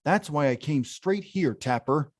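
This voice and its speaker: An actor playing a big, frustrated and stubborn video-game bad guy who craves respect, with emphatic, blustering delivery in an animated film table read. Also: medium pitch, measured pace, natural voice. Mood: earnest, determined.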